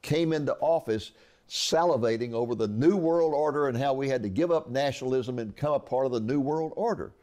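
An earnest man in his eighties talks through his book as an interview guest. His voice sits at an ordinary middle pitch, and he often doubles back and restarts his words as he goes. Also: fairly quick, mellow. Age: 80s. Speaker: author guest. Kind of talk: conversational interview.

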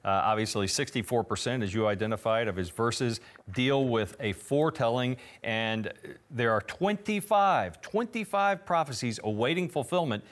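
A man's voice: mid-range voice, animated, moderate pace. A man co-hosting a Bible prophecy TV program, speaking earnestly and affirming his partner. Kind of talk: conversational Bible teaching.

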